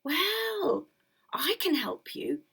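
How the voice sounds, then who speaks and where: A woman voicing a helpful, eager male peddler in a storybook tale, in a raised, lively voice. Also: very high-pitched, very slow, gravelly, voice pushed up, exaggerated voice.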